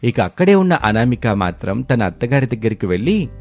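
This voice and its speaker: A man's voice: mid-range voice, very animated, fairly quick, soft background music. A man narrating a scripted moral story.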